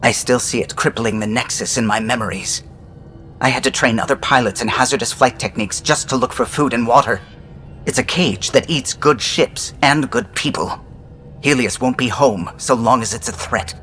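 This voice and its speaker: A man's voice: medium pitch, normal speed, a bit bright, faint music behind, close to an everyday voice. Actor as an earnest, resolute male starship pilot. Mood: solemn, resolute. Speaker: pilot character. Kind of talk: scripted video game dialogue.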